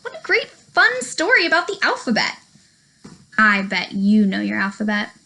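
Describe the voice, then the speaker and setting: A young adult woman presenting a children's storytime, reading a picture book aloud in a slow, sing-song, very animated way, with playful whispers. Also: mid-range voice, creaky, poor audio.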